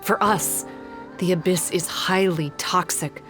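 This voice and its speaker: A woman playing a composed, analytical guide in a fantasy game, speaking in a measured, formal, explanatory way. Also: fairly low pitch, steady tone, music in the background. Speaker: game character giving quest briefing. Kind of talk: scripted game dialogue.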